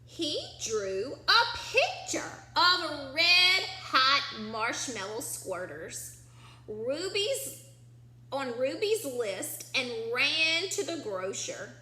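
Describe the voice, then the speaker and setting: High, lively woman reading to children. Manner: expressive, encouraging, drawn-out emphasis on key words. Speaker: teacher reading a picture book aloud to children. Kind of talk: children's read-aloud with questions to the listeners. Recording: echoey room, faint background noise.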